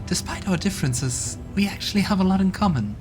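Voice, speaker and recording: A man's voice: high voice, animated, brisk, voice pushed up. A man voicing a curious, playful female demon in a game playthrough, with music in the background.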